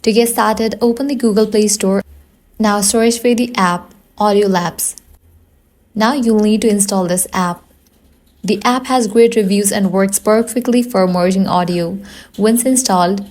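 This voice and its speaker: A woman's voice: medium pitch, steady tone, unhurried, a little mellow. A woman presenting a scripted tutorial, giving instructions step by step in narration.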